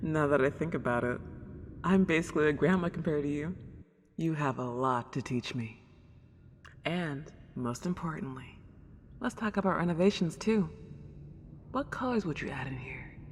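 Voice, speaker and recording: Woman acting an excitable ghost girl in long reverb. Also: sits low, moderate pace, long pauses. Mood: joyous, gracious. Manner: old-fashioned genteel wording, gushing wonder. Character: excitable, polite century-old young ghost girl. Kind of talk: ASMR roleplay acting. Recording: long reverb, clear background noise.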